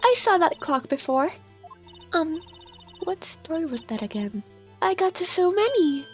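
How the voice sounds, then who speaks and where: A coy, scheming young witness , played by a woman with a high, lively voice. She draws out her words slowly and breathily, with background music under her. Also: heavily acted. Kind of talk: fan dub of a game.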